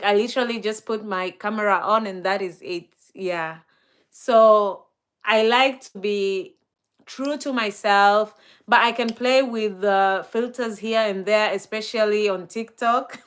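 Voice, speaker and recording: Chatty Kenyan woman on a slow live stream. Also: medium pitch, clear, resonant tone. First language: Swahili and Luo. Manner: relaxed, chatty, many fillers and laughs. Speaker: livestreamer. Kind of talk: live stream chat reading comments.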